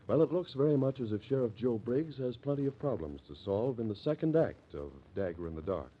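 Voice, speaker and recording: A man's voice: medium pitch, animated, fairly quick, very noisy. A man announcing and narrating an old-time radio drama in a dramatic, suspenseful way. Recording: thin, phone-like old radio recording.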